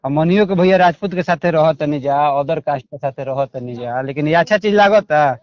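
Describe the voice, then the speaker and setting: A man's voice: on the high side, moderate pace, a little mellow, no room sound. A man with a Bhojpuri accent speaking as a caller in a confrontational phone call, in an aggrieved, repetitive, coarse way.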